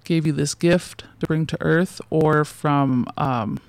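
A woman's voice: sits low, slow, vocal fry, no echo. A woman in her forties, a guest in a casual interview, talking in loose, rambling sentences full of fillers and restarts and often laughing between thoughts.

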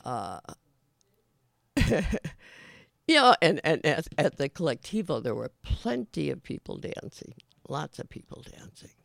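A raspy older man talks slowly and loosely, stopping often to search for words. His voice is deeply gravelly, heard close and dry with no room around it. The talk is relaxed and personal. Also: no echo. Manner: halting, many fillers and restarts. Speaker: show host. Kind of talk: casual rambling monologue.

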